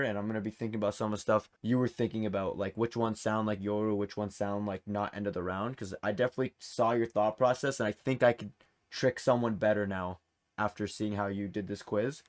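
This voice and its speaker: Casual, chatty male quiz host. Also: on the low side. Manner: chatty, informal, encouraging. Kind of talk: casual chat hosting a gaming quiz.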